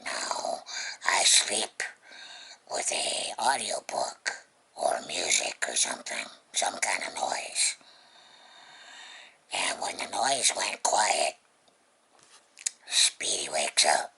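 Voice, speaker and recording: A vlogger with a very husky, breathy, gravelly voice that often drops near a whisper, chatting casually and rambling along at home. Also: deep voice, lively intonation, slow, pauses often, sharp tone. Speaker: vlogger, host of the channel. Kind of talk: casual unboxing vlog chat. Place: indoors at home.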